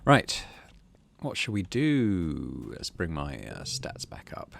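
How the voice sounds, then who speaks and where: Low-voiced man with a very lively delivery. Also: takes it slowly, creaky, a little hiss or hum. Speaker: gaming channel host. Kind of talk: game let's play, dramatic text narration and casual commentary.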